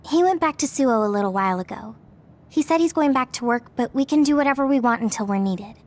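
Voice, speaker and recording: A high-pitched, earnest young female soldier. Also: animated, quick pace. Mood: matter-of-fact. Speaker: voice actor in a video game. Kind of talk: dubbed anime-style game acting.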